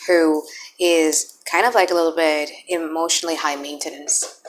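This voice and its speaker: A woman's voice: low voice, fairly even intonation, normal speed, slight background noise, poor audio. A woman whose first language is Indonesian gives a chatty, blunt tarot reading, thinking aloud as she turns the cards. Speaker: tarot reader. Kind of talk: casual tarot reading to camera.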